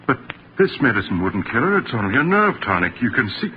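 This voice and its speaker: An actor playing a grave, methodical family doctor in a deliberate 1940s radio drama manner. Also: male voice, medium pitch, sing-song, close to an everyday voice. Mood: puzzled, firm. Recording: muffled, hissy 1940s radio recording.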